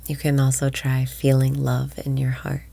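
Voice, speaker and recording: A woman leading a guided breathing meditation in a slow, soothing voice that often drops to a whisper. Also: a bass voice, monotone, gravelly, mellow, breathy edge.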